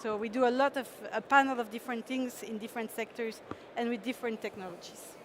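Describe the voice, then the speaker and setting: A woman's voice: on the high side, steady tone, fast, slight background noise. Hesitant woman presenting her company. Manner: hesitant, frequent fillers, laughs easily. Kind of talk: startup pitch presentation.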